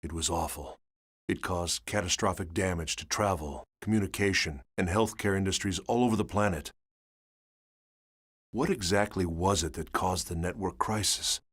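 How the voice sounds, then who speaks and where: An actor playing a grave, weary chronicler, giving scripted dramatic narration for a game saga. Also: male voice, sits low, normal speed, a bit bright, slightly rough. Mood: grave, solemn.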